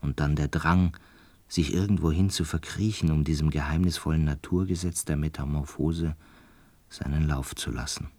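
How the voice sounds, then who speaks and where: An actor playing a gentle, melancholic butterfly enthusiast in a radio drama, measured, reflective and hesitant, with a husky voice. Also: male voice, very deep voice, fairly even intonation, dry recording. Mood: resigned, reflective. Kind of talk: radio drama acting and first-person narration.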